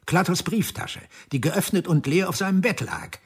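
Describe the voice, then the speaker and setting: Male investigator, high-pitched, husky, pressing, speculative, radio drama. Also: normal speed. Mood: insistent.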